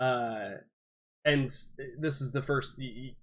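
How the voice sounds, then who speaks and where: A man hosting a tabletop game over a voice call. He is friendly and a little halting, often repeating words while he finds them. His voice sits at an ordinary middle pitch. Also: fairly bright tone. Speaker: game master. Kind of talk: casual introductions on a stream.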